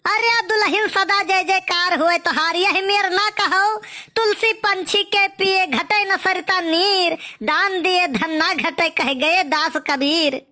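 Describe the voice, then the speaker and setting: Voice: very high voice, takes it slowly, bright, forceful tone, exaggerated voice. A performer playing a wily, wheedling old male beggar in a village cartoon comedy, boastful, with an Awadhi accent. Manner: sing-song blessings, wheedling, boastful.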